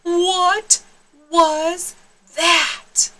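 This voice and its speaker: A woman voicing an excitable, boastful little boy kitten who plays a dramatic Chihuahua hero in a storybook. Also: extremely high pitch, drawn-out words, voice pushed up, full character voice. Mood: excited. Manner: exuberant, bouncy, dramatic.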